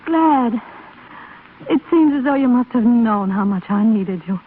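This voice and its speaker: An actress playing a gentle blind woman, brave yet yearning and despairing, in a 1930s radio drama. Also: on the high side, expressive, unhurried. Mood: sorrowful. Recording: scratchy, phone-like 1930s radio broadcast recording.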